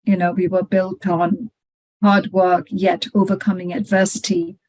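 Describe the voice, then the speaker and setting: A middle-aged woman from Malaysia speaks as a podcast guest, sounding reflective as she tells her story. She talks in a relaxed conversational way, often dropping in "you know" as she goes. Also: on the low side, measured pace, rough recording. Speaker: podcast guest, head of legal.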